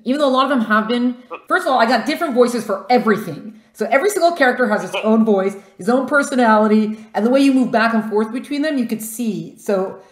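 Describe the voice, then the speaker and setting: A woman's voice: fairly high pitch, reverberant. A woman hosting a podcast, an author interviewing a guest in a casual, enthusiastic manner with frequent laughter.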